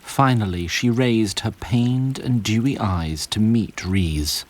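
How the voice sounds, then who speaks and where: A man's voice: lowish voice, slow pace. A man narrating a scripted fantasy story with a literary storyteller's delivery.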